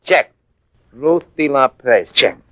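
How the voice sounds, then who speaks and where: A man's voice: mid-range voice, lively intonation, takes it slowly, bright, forceful tone, no room sound. A man playing a streetwise American tough-guy sidekick in a 1940s radio drama, slangy, breezy and blunt. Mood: cheeky, eager.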